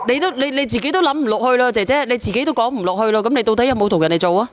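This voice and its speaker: A woman's voice: fairly high pitch, very fast, background noise. A woman hosting a live call-in show in casual, blunt, teasing talk, often repeating her phrases.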